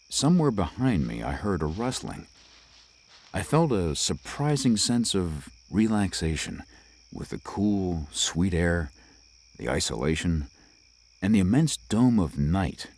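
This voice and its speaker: A man voicing a young, composed city lawyer who narrates his own ghost story in a measured, slightly formal way. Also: sits low, a little mellow, exaggerated voice. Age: young adult. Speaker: narrator and lead. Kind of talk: first-person audio drama narration.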